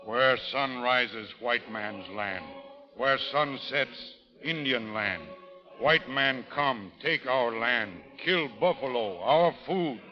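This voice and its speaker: A proud, vengeful war chief acted by a man in an old radio drama. He speaks slowly and solemnly in halting broken English. The recording is dull, with a long reverb trailing the voice. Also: medium pitch, faint music behind, natural voice. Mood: solemn, defiant. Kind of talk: 1930s radio drama acting.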